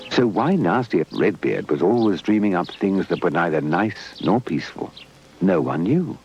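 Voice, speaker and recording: A man's voice: low voice, big pitch swings, normal speed, creaky. A man with a British accent narrating a scripted children's story. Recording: dull old VHS tape, loud music.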